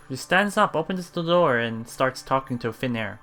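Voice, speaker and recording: A man's voice: medium pitch, expressive, unhurried, a bit bright. A man doing a let's play, reading a visual novel aloud as narrator and adding casual remarks. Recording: game music behind the voice.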